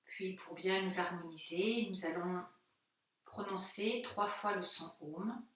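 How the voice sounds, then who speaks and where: Very reverberant room, woman, slightly low voice, calm yoga teacher, soothing guided relaxation. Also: a little hiss or hum. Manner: calm, unhurried, soothing guidance. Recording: very echoey room, distant microphone.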